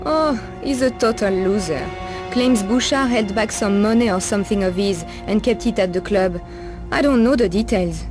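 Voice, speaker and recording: A woman's voice: medium pitch, normal speed, over music, lightly acted. A woman playing a world-weary, streetwise Parisian streetwalker in a video game, knowing, familiar and sardonic. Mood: gossipy, knowing. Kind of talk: video game cutscene acting.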